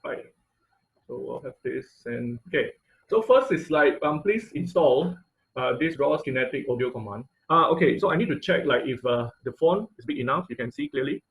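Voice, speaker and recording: A man teaching an online class in an informal, halting tutorial style, often checking in with listeners. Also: mid-range voice, animated, creaky, fairly bright tone, rough recording. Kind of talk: informal tutorial with live demonstration. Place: online video call class.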